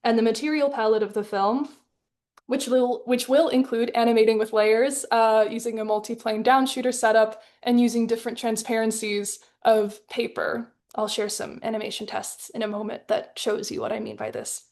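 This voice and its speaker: A woman from Hong Kong with a somewhat high voice presents her work as an artist in an online talk. Her delivery is thoughtful and articulate, with carefully measured phrasing that sounds partly read from prepared notes. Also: fairly even intonation, darkish tone. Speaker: artist in residence presenting her animated film. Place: live online webinar. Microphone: video call.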